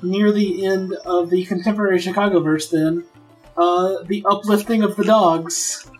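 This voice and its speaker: A player in a tabletop game who thinks aloud eagerly while pitching ideas in casual chat. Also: fairly even intonation, normal speed, a little mellow, recorded from a distance, faint music behind, poor audio.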